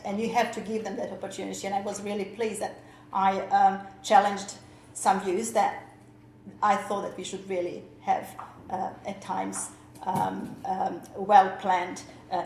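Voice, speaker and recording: A woman's voice: medium pitch, a little mellow. A woman teacher, presenting at a workshop with many fillers and restarts. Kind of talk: conference presentation. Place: lecture room. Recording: echoey lecture room, faint noise.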